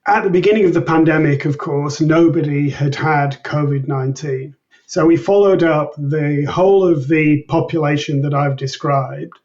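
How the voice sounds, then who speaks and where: Higher-pitched man, distant and dull-sounding. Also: a little mellow. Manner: measured, precise, methodical explaining. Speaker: researcher being interviewed. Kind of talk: explanatory interview answers.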